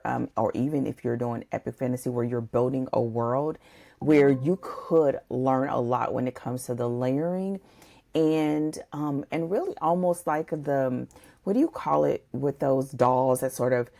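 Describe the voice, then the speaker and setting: A woman's voice: very deep voice, gravelly, dark tone. A woman co-hosting a podcast, discussing a book conversationally and thinking aloud in a discursive way. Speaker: podcast co-host.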